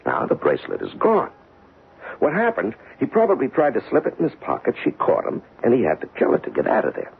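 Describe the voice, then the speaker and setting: An actor playing a weary, skeptical American police detective husband in a radio drama, talking plainly and emphatically. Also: male voice, mid-range voice, wildly swooping pitch, brisk, creaky, husky, heavily acted. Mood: assured, explaining. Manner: colloquial, emphatic, matter-of-fact. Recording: dull lo-fi 1970s radio recording.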